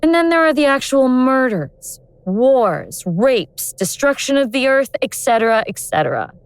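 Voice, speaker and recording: A woman playing an awakened ancient goddess of vengeance in human form, speaking in a wry, deliberate, sardonic way in an audio drama. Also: high voice, sing-song, clear, resonant tone, dry recording, full character voice. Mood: bitter, scornful. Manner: sardonic, world-weary, deliberate explaining.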